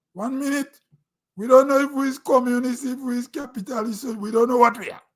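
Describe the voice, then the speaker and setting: A man's voice: extremely high pitch, a bit bright. A middle-aged Grenadian man with a Grenadian accent, giving a rambling, conversational opinion monologue on his own online show. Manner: rambling, conversational, with throat-clearing and restarts.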